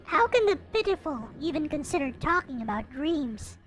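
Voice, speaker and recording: A woman's voice: sits high, sing-song, normal speed. A woman reading a story aloud expressively, with playful, theatrical casual asides. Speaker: host reading a visual novel aloud. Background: background music.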